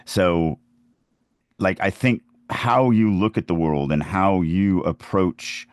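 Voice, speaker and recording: A man's voice: sits low, a little mellow, dry recording, studio quality. A man hosting a podcast, giving writing advice in an informal, opinionated, conversational way with anecdotes. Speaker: podcast host, fantasy author and writing teacher.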